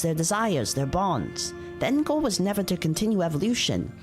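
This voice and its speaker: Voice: mid-range voice, pitched above the performer's own voice. A streamer voicing an ancient, philosophical Reaper from a visual novel, speaking formally in a solemn, reflective manner.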